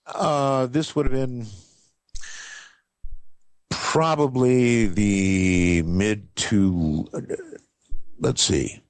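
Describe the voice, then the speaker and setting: Very slow-talking man with low voice. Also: fairly even intonation, no echo. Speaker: interviewee recalling events. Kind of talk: unscripted recollection.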